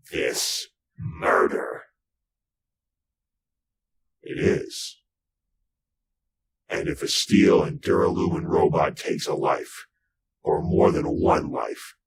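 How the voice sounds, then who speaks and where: An actor playing a brooding, murderous robot with a human mind, narrating slowly and menacingly in a retro radio drama. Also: male voice, very deep voice, fairly bright tone, exaggerated voice. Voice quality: gravelly. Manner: deliberate, halting, menacing. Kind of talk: retro 1940s radio drama acting, first-person narration.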